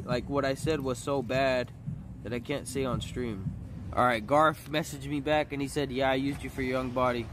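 A young man rants on a livestream with an aggrieved, combative edge and lots of swearing. His voice sits at a middle pitch. Clear street noise runs behind him as he talks into a handheld phone outdoors. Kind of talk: ranting livestream talk. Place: outdoors on a city street at night. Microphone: phone at arm's length. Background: street and vehicle noise.

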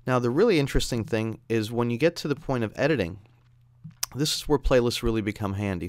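A man's voice: mid-range voice, no room sound. A man narrating an instructional screencast in a conversational, explanatory way. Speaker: audio software instructor.

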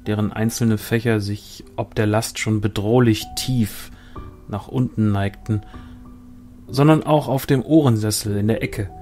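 A man calmly and measuredly reading a fantasy short story aloud as narrator. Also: fairly low pitch. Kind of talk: scripted storytelling. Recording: soft guitar music behind.

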